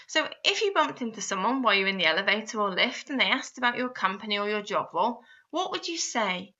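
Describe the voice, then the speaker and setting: Woman, instructional voice-over, very bright tone, direct address to the listener, guiding questions, slightly muffled lo-fi recording. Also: mid-range voice. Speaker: instructor narrating a guidance video.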